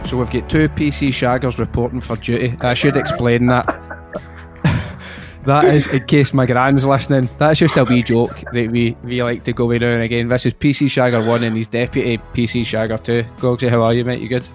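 A man's voice: mid-range voice, flat delivery, brisk, music in the background. A Scottish man hosting a podcast, reading a scripted intro and then trading friendly, cheeky banter with his guest. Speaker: podcast host.

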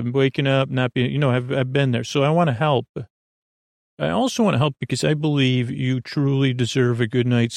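A man with a creaky voice hosting a sleep podcast, giving a soothing, meandering, hesitant bedtime monologue that keeps drifting off on tangents. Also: medium pitch, a little mellow, no room sound, studio quality.

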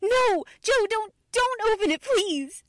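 A timid teenage girl, played by a woman in a 1940s radio horror drama. Her voice is high and slow, halting with fear. Rain sounds faintly behind her. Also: expressive, full character voice. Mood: terrified. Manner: hesitant, halting, fearful. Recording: noisy recording with rain.

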